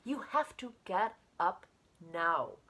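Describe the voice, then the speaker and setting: A woman's voice: mid-range voice, sing-song, slow pace, bright, forceful tone, full character voice. A woman voicing a middle-aged professor husband in a story, pleading in exasperation with his wife. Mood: urgent. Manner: pleading, despairing.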